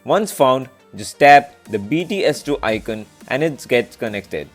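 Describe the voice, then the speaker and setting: A man's voice: mid-range voice, lively intonation, a little slow. A man presenting a scripted product review as a video reviewer, in narration style. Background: background music.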